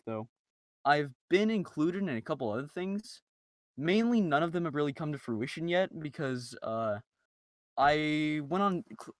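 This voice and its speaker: A man's voice: a little high, fairly bright tone, no echo. Chatty man, dry close sound. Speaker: podcast member, amateur voice actor. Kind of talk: casual group podcast chat.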